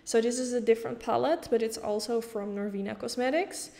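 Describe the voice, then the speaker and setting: A woman's voice: a little high, brisk, vocal fry, warm tone, long reverb tail. Dutch-accented woman, chatty makeup voice-over. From Netherlands. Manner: chatty, explains step by step with casual fillers. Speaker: beauty YouTuber.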